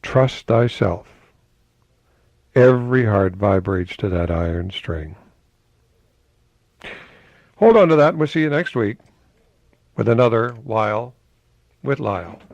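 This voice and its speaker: An older man giving a reflective radio talk in a conversational, musing way, posing rhetorical questions and reading quotations slowly with emphasis. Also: on the low side, lively intonation, a little mellow, dry recording, muffled recording. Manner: slow, emphatic delivery of quotations. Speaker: radio host, professor.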